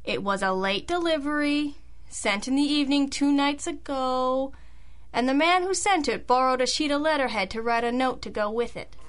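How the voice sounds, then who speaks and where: Woman acting sassy high-voiced girl detective. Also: moderate pace, clean tone, natural voice. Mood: brisk, wry. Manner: wry, slangy, hard-boiled. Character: wry, sassy female hard-boiled private detective, narrating and talking. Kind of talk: old-time radio detective drama.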